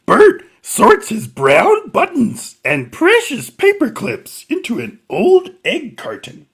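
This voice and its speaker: A man voicing an excitable furry little monster puppet, reading a children's picture book in a strained, throaty voice. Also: very high-pitched, gravelly, husky, pitched above the performer's own voice, exaggerated voice. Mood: earnest, instructive. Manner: emphatic, swooping, deliberate reading to small children.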